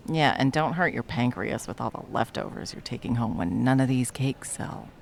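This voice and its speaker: A performer playing a jaded, sarcastic cafe baker with a villainous side, speaking in a dry, menacing deadpan for a comedy audio roleplay. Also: medium pitch, moderate pace, creaky. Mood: dry menace. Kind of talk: ASMR comedy roleplay acting.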